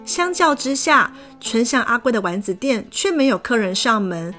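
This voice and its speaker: A woman narrating a children's fairy tale in a lively, expressive way. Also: fairly high pitch, moderate pace. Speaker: storyteller. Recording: background music.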